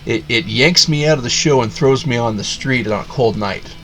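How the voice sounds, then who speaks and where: A man's voice: mid-range voice, normal speed, fairly bright tone, faint music behind. A man giving a casual, sarcastic rant of a review, rambling with lots of restarts. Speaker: video reviewer.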